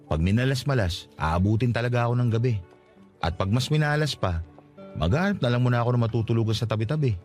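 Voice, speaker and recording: A man with a Filipino accent playing a humble, flattering provincial worker in his late twenties in a radio drama. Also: medium pitch, fast, soft background music, natural voice. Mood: worried, humble. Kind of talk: radio drama acting in natural conversation.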